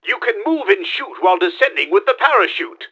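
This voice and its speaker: A bombastic mad scientist villain from a video game. His voice sits high and swings widely, with a gritty, sharp edge. He delivers instructions in a pompous, theatrical way, as if every line were a proclamation. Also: sing-song, fairly quick, breathy edge, heavily acted. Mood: instructive, bossy. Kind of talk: video game tutorial voice lines.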